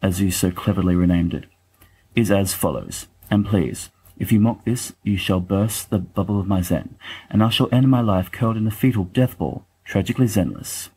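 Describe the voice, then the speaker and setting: Male reader as a fussy, bookish young man in an audio drama; dark, low voice, dryly self-deprecating. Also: normal speed, husky, rough recording. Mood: dryly musing. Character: wry bookish young male letter-writer, fussy and affectionate. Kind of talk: dramatic reading of letters.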